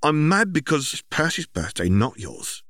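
Audiobook little sister, male reader, exasperated, sharp and hushed, close dry sound. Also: on the high side, big pitch swings, moderate pace, no room sound, voice pushed up. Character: young girl, exasperated sister scolding her brother.

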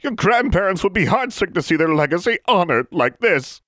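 A shrill, haughty old crone, wailing and scolding in a high, strained voice that swoops wide. Her storybook lines are theatrical and indignant, with a dry close sound. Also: sing-song, moderate pace, bright, forceful tone, dry recording, full character voice.

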